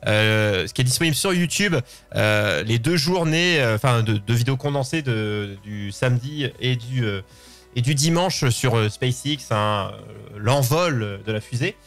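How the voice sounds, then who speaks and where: A man's voice: medium pitch, expressive, unhurried, fairly bright tone, faint music behind. A man hosting a casual, unscripted talk show, often rephrasing himself as he goes. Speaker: host of a live call-in show.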